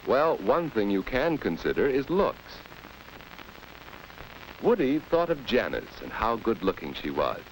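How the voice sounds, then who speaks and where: Male American narrator, vintage 1940s educational film; lowish, highly animated, guiding; faint hiss. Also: poor audio. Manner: rhetorical, guiding delivery. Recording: old film soundtrack with steady hiss.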